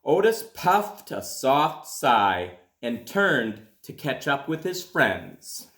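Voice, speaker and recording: Slow, expressive male storyteller in echoey room. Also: mid-range voice. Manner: deliberate, expressive storytelling with dramatic pauses. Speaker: school principal reading aloud. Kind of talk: children's storybook read-aloud.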